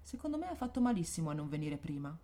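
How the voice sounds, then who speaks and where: Low-voiced, detached female android escort. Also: sing-song, fast talker, mellow. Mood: formal, matter-of-fact. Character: dutiful, naive, robotic female official escort in dystopian city. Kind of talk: dystopian science-fiction radio drama acting.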